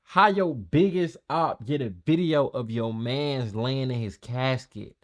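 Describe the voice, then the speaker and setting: A man's voice: medium pitch, unhurried. A man hosting a reaction video, commenting casually and bluntly in heavy slang and repeating his phrases in disbelief.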